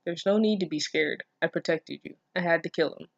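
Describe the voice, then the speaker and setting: A woman's voice: lowish voice, fairly quick, vocal fry, no echo, lo-fi sound. A woman voicing a grim, possessive, menacing young zombie-apocalypse survivor in an amateur story reading, terse and brooding. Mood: possessive, reassuring.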